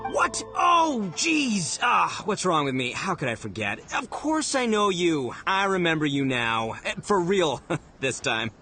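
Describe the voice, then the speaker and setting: A man's voice: a little high, sing-song, unhurried, heavily acted. A man playing a brash, goofy, hot-headed but big-hearted gang boss in an anime video game, cocky and hammy. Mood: indignant, boisterous. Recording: loud music behind the voice.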